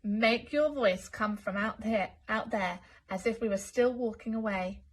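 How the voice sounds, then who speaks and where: A woman's voice: a little high, measured pace. A woman voicing a cheerful, mischievous male elephant in a children's picture book, playful and exclaiming. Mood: playful, teasing.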